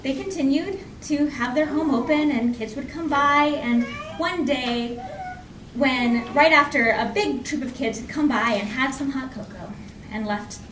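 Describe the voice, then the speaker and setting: Recorded from a distance in a reverberant room, a woman tells a folk tale aloud to listeners. Her voice sits high, and she is expressive yet conversational, pausing and repeating phrases for effect. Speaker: storyteller. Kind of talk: live oral folk tale storytelling. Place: indoor library program before an audience. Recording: echoey room from far off, faint noise.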